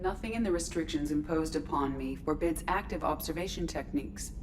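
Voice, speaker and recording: An actress voicing a calm, precise starship artificial intelligence in a video game, formal, measured and detached. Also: female voice, low-pitched, noisy background, lightly acted. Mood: detached, curious.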